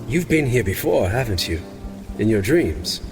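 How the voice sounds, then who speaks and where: Man playing a measured, learned fantasy cleric over music. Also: medium pitch, big pitch swings. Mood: curious. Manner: deliberate, scholarly, explanatory. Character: learned, measured male cleric, dry and grim. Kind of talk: dark fantasy audio drama acting. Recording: music under the voice.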